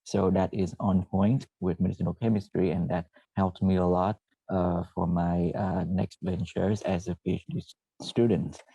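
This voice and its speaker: Low gravelly man, halting. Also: fairly even intonation, dark tone, no room sound, poor audio. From Vietnam. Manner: halting, frequent "uh" and self-corrections. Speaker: former PhD student presenting. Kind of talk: presentation to students.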